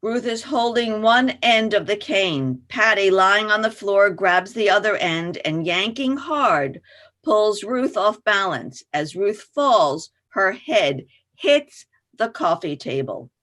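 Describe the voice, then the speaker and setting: A woman's voice: mid-range voice, lively intonation, slow, fairly bright tone. A woman reading scripted stage directions as narrator for a play reading.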